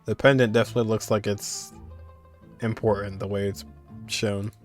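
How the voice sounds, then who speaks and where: A man's voice: lowish voice, little pitch movement, normal speed. A man giving casual video game playthrough commentary, reacting in muttered asides and echoing what he hears. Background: game music.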